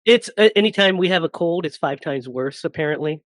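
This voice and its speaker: Hoarse guy hosting a podcast, joking a lot, dry sound. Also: fairly high pitch, lively intonation, brisk, no room sound. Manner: joking, laughs often. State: hoarse from a cold. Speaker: podcast host. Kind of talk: casual podcast chat.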